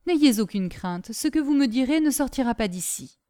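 Woman reading as a kindly, fatherly gentleman. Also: a little high, expressive, moderate pace, voice pushed up, natural voice. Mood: reassuring. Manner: paternal, kind, concerned. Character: kindly gentleman master of the house, fatherly, concerned. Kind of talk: audiobook character acting.

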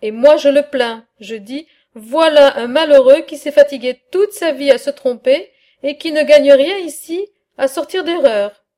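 Sober reserved male scholar, read by a woman, high voice, slow careful pace, read-aloud dialogue. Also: warm tone. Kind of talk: reading a philosophical dialogue aloud.

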